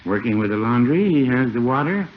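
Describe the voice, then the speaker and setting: An actor playing a mild, dry old small-town druggist who needles with a deadpan, folksy chuckle in a 1950s radio comedy. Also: male voice, medium pitch, fairly quick, lightly acted. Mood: slyly teasing. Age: older. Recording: muffled, noisy 1950 radio broadcast.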